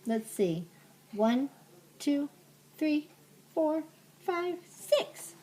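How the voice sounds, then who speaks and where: An older woman reading a storybook aloud like a grandmother, with gentle, simple asides to a young listener. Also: high voice, very animated, extremely slow, slight background noise, low-quality recording.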